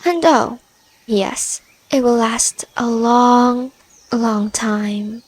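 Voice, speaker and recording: Prickly spider-woman played by a woman: slow, scornful, haughty audio-drama delivery. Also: a little high, fairly even intonation, no echo. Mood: smug. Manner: dry, scornful, bargaining. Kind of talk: ASMR audio roleplay.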